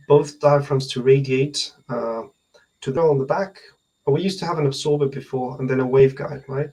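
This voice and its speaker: A man in his thirties giving technical explanations as an interview guest, hesitant and pausing mid-sentence to think. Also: medium pitch, a little mellow, low-quality recording. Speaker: loudspeaker research engineer, interview guest. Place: indoor room at home, on a video call. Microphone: video call.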